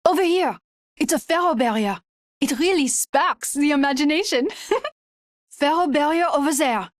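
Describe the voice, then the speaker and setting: A woman's voice: high voice, normal speed, no room sound, studio quality, close to an everyday voice. A woman playing a spirited, enthusiastic young fighter in a video game, calling out what she spots. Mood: bright, impressed. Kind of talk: video game character callouts.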